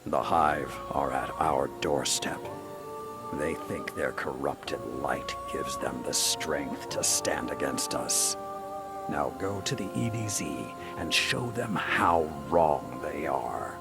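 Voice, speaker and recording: A man playing a stern, battle-hardened older warlord in a video game, with a grave, commanding, deliberate delivery. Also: a bass voice, expressive, vocal fry, over loud music, exaggerated voice. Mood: stern, resolute. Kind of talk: dramatic video game character acting.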